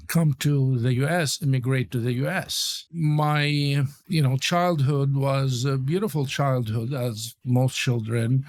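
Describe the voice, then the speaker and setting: A man in his sixties from Lebanon with a Lebanese accent, a podcast guest telling his life story in a halting way with many fillers. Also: medium pitch. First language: Arabic. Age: 60s. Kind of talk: interview storytelling.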